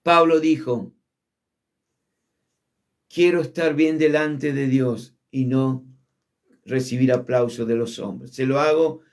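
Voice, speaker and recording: A male pastor with an Argentine Spanish accent, preaching a sermon with emphasis, dropping low on key words. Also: steady tone. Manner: emphatic preaching, drops low on key words, shifts between quick and drawn-out phrasing.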